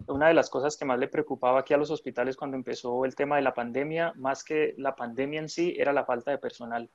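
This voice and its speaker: A man's voice: medium pitch, no echo, muffled recording. A man from Colombia, a nurse in his thirties, chatting in a remote interview with many fillers and restarts.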